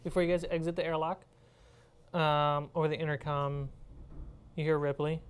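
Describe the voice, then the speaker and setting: Low-voiced woman running a game. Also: flat delivery, moderate pace, smooth. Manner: profane, informal. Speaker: game master. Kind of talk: narrating a tabletop horror game.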